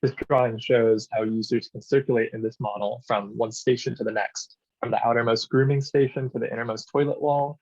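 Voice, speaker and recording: A man, an architect, giving a conference presentation in a measured, explanatory way with frequent "um" fillers. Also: medium pitch, steady tone, darkish tone, muffled recording. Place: New York City.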